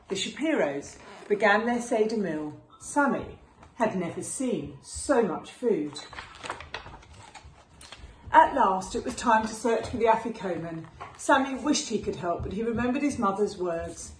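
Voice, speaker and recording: A woman narrates a children's picture book in an expressive way, with lively rises and falls in pitch. The room echoes, and there is faint noise behind her. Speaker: teacher reading to children. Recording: roomy echo with faint background noise.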